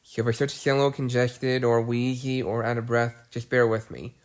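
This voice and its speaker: Congested man giving a monotone weather update. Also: mid-range voice, a little fast. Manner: plodding list-reading with restarts. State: congested with a cold. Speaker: weather video host. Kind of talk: casual weather briefing.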